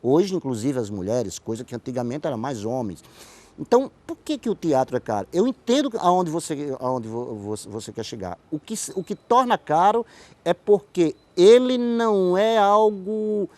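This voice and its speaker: A man's voice: a little high, very animated, normal speed, darkish tone. A man with a Brazilian Northeastern accent explaining his views emphatically in a conversational interview, often checking that he is understood. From Pombal, Paraíba, Brazil. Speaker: interview guest, playwright and theater director. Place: outdoor hotel terrace.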